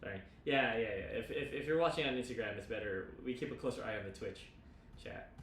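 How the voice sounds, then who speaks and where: A man chatting casually as co-host of a livestream, rambling excitably and often repeating his phrases. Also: lowish voice, fast, recorded from a distance. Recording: roomy echo, faint background noise.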